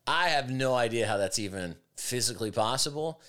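A man with a raspy voice, hosting a podcast with opinionated, emphatic casual talk. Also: mid-range voice, lively intonation, a little fast, fairly bright tone. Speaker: podcast host.

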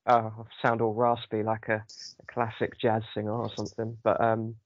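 A man's voice: on the low side, completely flat delivery, normal speed, no room sound, muffled recording. A man hosting a casual chat podcast with a sore throat, rambling with many ums. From United Kingdom. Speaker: podcast host.

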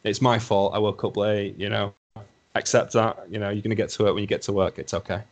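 Young Lancashire man, low-pitched, chatty podcast guest who rambles and reflects aloud. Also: steady tone, fast, lo-fi sound. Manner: rambling, self-reflective, hedges with "you know". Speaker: podcast guest, assistant psychologist. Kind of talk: casual conversation.

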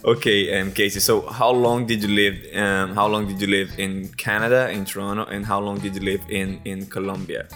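Flat-voiced man, hesitant questions. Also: on the low side, normal speed, few pauses, soft background music. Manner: hesitant, searching for words. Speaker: host. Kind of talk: casual interview questions.

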